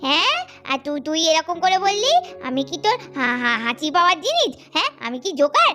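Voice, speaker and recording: Woman doing an indignant, high-voiced cartoon girl. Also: very animated, bright, forceful tone, soft background music, full character voice. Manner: indignant, dramatic, drawn-out complaining. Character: food-loving, aggrieved older girl, comically self-pitying. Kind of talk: children's doll-story cartoon acting.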